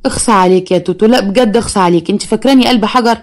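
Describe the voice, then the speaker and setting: Woman doing a smug egyptian guy blackmailing his stepmom, fast talker. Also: mid-range voice, lightly acted. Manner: mocking, smug, sweet-talking. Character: scheming young man, smug blackmailer.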